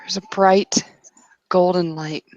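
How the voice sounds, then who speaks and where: Brief: female game master, slightly low voice, dramatic broken phrasing, dry recording. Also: steady tone, takes it slowly, a little mellow, dry recording, lo-fi sound. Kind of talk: improvised narration for a roleplaying game.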